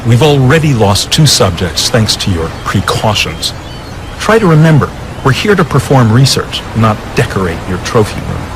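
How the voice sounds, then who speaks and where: An actor playing an earnest marine biologist in a game cutscene. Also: male voice, mid-range voice, big pitch swings, moderate pace, background noise. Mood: directing. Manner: earnest, insistent. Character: urgent marine biologist, adult man, earnest. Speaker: field scientist.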